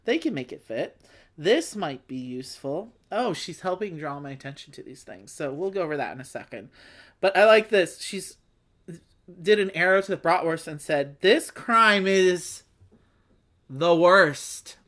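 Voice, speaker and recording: A woman's voice: deep voice, animated, moderate pace. A woman hosting a board game livestream, chatting casually with playful, wry asides and sounding slightly phlegmy. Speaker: host of a board game play-along livestream. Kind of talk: casual chat with read-aloud game text.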